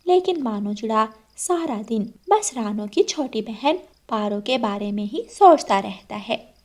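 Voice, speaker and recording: A woman narrator reading a scripted cartoon story. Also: fairly high pitch.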